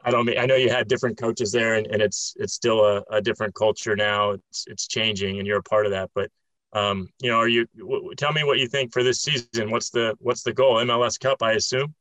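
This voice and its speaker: A man's voice: fairly low pitch, fairly even intonation, fairly quick, a bit bright, dry recording, low-quality recording. A man hosting an interview, who also does some commentating, talking in long, rambling questions full of fillers. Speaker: podcast host and occasional commentator.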